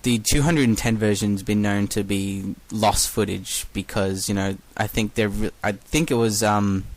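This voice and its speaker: A young man from Australia with an accent softened toward American, leaving a casual, halting listener message. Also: on the low side, steady tone, poor audio. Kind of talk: casual recorded question.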